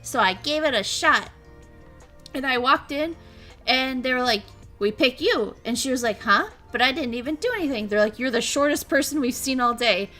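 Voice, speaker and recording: A woman's voice: sits high, moderate pace, bright, forceful tone, soft background music. A young woman streaming a casual chat, animatedly retelling stories, laughing often and answering her chat. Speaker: VTuber streamer.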